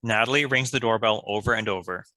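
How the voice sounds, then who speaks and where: Bright, low-pitched male script narrator. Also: fast, no room sound, low-quality recording. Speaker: stage-direction narrator.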